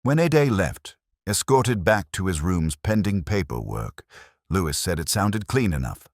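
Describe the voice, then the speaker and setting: Very deep-voiced male mystery narrator. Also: very animated, dry recording, studio quality. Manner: measured, literary, solemn delivery. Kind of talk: scripted first-person detective mystery narration.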